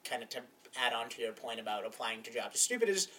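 A man's voice: mid-range voice, sing-song, bright, forceful tone, far from the mic. Young man, slightly off-mic, easygoing. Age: 20s. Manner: easygoing, agreeable, quick to say yeah. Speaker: podcast co-host. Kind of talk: casual chat.

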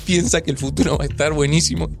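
A man's voice: on the high side, fairly even intonation, fast talker, vocal fry, music in the background. An actor playing a wry, affectionate Argentine man in a naturalistic audio drama. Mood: bitter, wistful. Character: wry, affectionate male friend of a time traveller.

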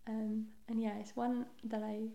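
Young woman for a guest spot: warm, slightly fried voice, casual and chatty, in a roomy space. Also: fairly high pitch, little pitch movement, a little slow, gravelly, dull audio. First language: Welsh. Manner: chatty, self-deprecating, often trails into soft asides. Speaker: podcast guest. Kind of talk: casual chat. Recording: roomy echo.

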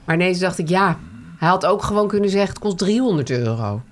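A woman's voice: fairly low pitch, expressive, quick pace. A woman co-hosting a podcast, telling a story in casual chat with many fillers and restarts. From Netherlands. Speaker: podcast co-host.

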